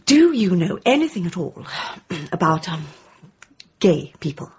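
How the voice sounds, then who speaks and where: An actress playing a gentle, slightly hesitant village woman, polite and homely, in a radio comedy drama. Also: fairly low pitch, sing-song, measured pace, husky, dull audio, exaggerated voice.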